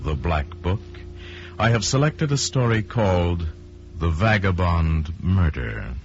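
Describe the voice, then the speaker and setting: Deep guy narrating old timey radio thriller, real dramatic and deliberate, muffled sound w music behind. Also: lively intonation. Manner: deliberate, theatrical, suspenseful delivery. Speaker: storyteller narrator.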